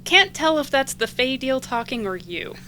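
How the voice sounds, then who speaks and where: An earnest, high-voiced, anxious young woman. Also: sing-song, normal speed, pushed and bright, slight background noise, full character voice. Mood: wry, insistent. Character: Jack, earnest anxious young woman, wry supernatural repair worker. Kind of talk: audio drama acting.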